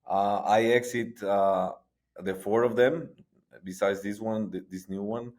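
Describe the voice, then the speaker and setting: Middle-aged man, somewhat low voice, stop-start guest answers. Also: fairly even intonation, a little mellow. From Guatemala. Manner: halting, frequent restarts and repetitions. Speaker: guest, sports entrepreneur. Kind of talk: conversational interview.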